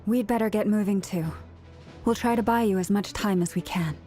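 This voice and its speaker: An actress playing a composed, serious young female leader in an anime-style game, measured and earnest. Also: medium pitch, music in the background, close to an everyday voice. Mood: serious, resolute.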